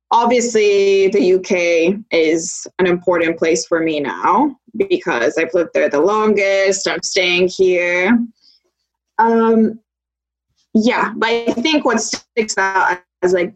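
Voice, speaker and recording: A woman's voice: medium pitch, slow, poor audio. A young woman from Poland in a casual conversation, thinking aloud and often saying "like". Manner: reflective, uses "like" often.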